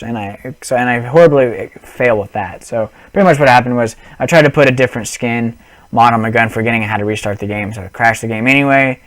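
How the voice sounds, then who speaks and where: A man's voice: medium pitch, fairly bright tone, dry recording. Rambling young man on a headset. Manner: rambling, many restarts and fillers, apologetic. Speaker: gaming vlogger. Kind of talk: casual unscripted vlog.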